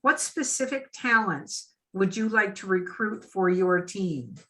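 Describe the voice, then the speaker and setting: A woman's voice: mid-range voice, lively intonation, a little slow, bright, forceful tone. An American woman speaking formally as the moderator of a public forum, reading aloud and giving instructions.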